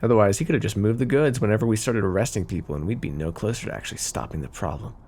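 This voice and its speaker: A man's voice: fairly low pitch, rapid-fire, darkish tone. A man playing a smug, flirty, scheming mafia boss in an ASMR roleplay, teasing and theatrical. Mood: persuasive, confiding. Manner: teasing, smooth-talking, theatrical. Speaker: voice actor in audio roleplay. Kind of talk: ASMR roleplay acting, addressed to listener.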